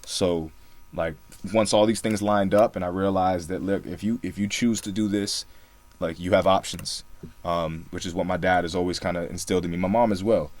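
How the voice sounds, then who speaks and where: A man's voice: low-pitched, steady tone, moderate pace, a little mellow. A young man from Singapore co-hosting a podcast, telling his story in a laid-back way with lots of fillers. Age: young adult. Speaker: podcast co-host. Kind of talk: casual podcast chat.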